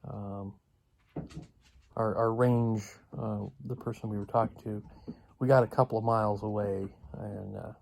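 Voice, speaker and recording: Low, gravelly man with a flat delivery. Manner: halting, rambling delivery with long pauses. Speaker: video blogger. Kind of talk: casual unscripted update.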